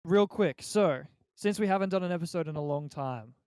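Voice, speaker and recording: A man's voice: on the high side, brisk, a little mellow, clean tone, dry recording, clean studio sound. A young man with an Australian accent hosting a casual group podcast chat. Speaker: podcast host.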